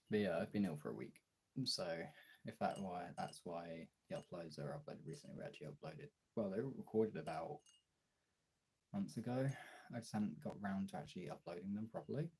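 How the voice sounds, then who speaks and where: A British man with a raspy, hoarse voice from being ill, chatting casually and swearily on a livestream. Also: sits low, animated, normal speed, pauses often, a little mellow. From Britain. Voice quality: raspy, throaty. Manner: informal, sweary. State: hoarse from illness, throat clearing. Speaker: live streamer and audio voice performer.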